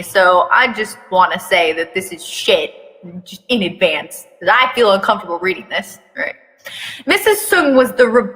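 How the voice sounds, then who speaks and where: A woman's voice: medium pitch, pushed and bright. A woman giving a mock-dramatic reading of fan fiction, breaking off for blunt, sweary, casual asides. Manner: theatrical reading broken by blunt, sweary commentary. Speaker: fan fiction reader and commentator. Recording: echoey reverberant room.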